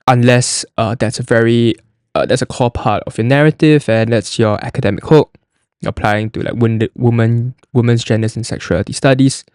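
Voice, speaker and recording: A young man talks through an application form like a casual explainer. His voice sits at a middling pitch. He is chatty and loose, often restarting and leaning on "like", and the recording is dry and close. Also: a little mellow, smooth, dry recording. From Singapore. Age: young adult. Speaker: college admissions podcast host.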